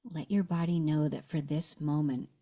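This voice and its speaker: A woman leading a guided meditation and visualization in a gentle, unhurried manner with long pauses. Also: low-pitched, steady tone.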